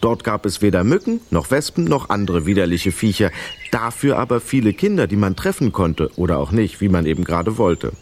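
A man's voice: lowish voice, sing-song, fairly quick, darkish tone, a little hiss or hum. A man narrating a children's radio play as a scripted storyteller.

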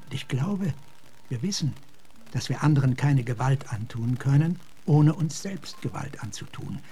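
A thoughtful, earnest gentleman officer with a mellow, soft-edged voice. He speaks in measured, reflective phrases over music, as in a radio play. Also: mid-range voice, lively intonation, breathy edge. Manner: measured, reflective, sometimes hushed. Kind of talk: German radio drama acting.

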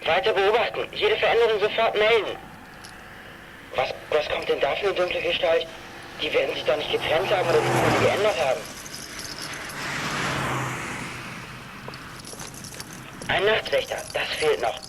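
Brisk, high-pitched boy detective leader played by a man. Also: background noise, lightly acted. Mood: tense, alert. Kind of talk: German children's audio drama acting.

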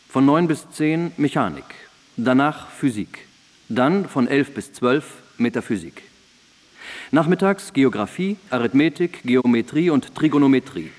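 A male actor plays a devoted student addressing his master in a radio drama. His medium-pitched voice is formal and clearly phrased, with old-fashioned diction. It rings in a reverberant space. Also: a little mellow, close to an everyday voice. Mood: orderly. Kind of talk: radio drama document reading. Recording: reverberant studio, digitized cassette radio play.

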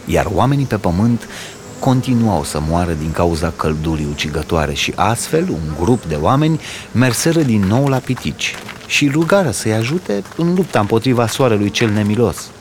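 A man reading a folk tale aloud as a storyteller, in an expressive, dramatic storybook style. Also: lowish voice, a little hiss or hum.

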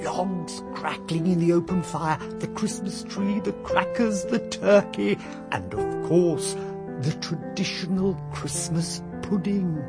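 A man's voice: sits high, slow, over loud music. A man playing a polished, persuasive British diplomat in a radio drama, with an English accent and a formal, emphatic manner. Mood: coaxing. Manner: smooth, formal, emphatic, circumlocutory.

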